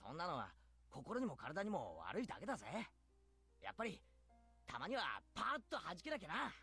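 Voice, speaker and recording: Brief: a brash anime teen boy, lively swooping delivery, quick and a bit breathy, soft music behind. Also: sits high, no echo, full character voice. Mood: pushy. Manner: rough, pushy casual speech. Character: brash, energetic teenage boy. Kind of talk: anime game voice acting.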